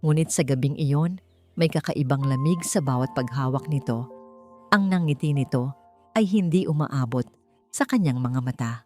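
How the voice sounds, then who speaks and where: A woman's voice: a bass voice, normal speed, a little mellow. A woman with a Filipino accent narrating a dramatic romance story as an audiobook. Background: background music.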